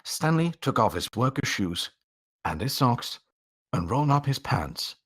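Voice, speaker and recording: A man reading a story aloud as a theatrical narrator, drawing out words for emphasis and pausing for drama. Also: mid-range voice, sing-song, a bit bright, breathy edge.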